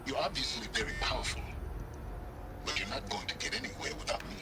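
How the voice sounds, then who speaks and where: An actor playing a shrewd, coolly ambitious male scholar in a video game, speaking in a measured, calculating, sardonic way. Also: close to an everyday voice. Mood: probing, coaxing. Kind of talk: scripted video game acting. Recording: loud music and rumble behind.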